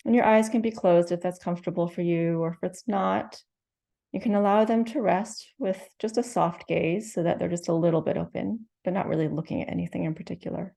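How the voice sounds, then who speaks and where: Meditation teacher, female, low, warm tone with a soft gentle delivery. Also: steady tone, gravelly. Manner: slow, gentle, soothing instructions with long pauses. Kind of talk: guided meditation.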